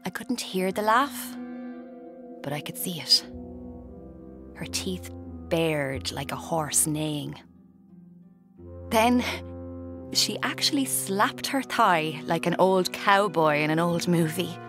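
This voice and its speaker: A watchful woman in her twenties, narrates a radio drama in the first person. Her voice is on the low side and very unhurried, confiding and wry, with faint music behind it. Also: animated. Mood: watchful, tense. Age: 20s. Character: watchful young Irish woman narrating her own story.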